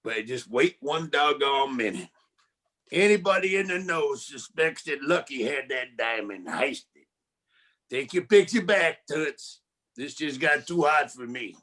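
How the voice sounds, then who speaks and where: A man's voice: a little high, animated, measured pace, fairly bright tone. A man playing a wisecracking, streetwise 1940s private detective in a campy radio noir style. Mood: wary, wry. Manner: slangy, wry. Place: live community theatre stage performance.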